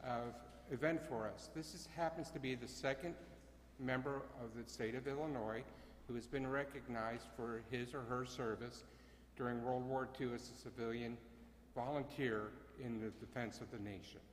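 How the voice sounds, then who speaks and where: A man running a ceremony as its emcee, with a loud voice and a halting way of talking, full of uhs and self-corrections. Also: medium pitch, fairly even intonation, a little hiss or hum. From Bucks County, Pennsylvania. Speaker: ceremony emcee and CAP wing commander. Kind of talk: ceremony address. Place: chapel. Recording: echoey chapel with long reverb.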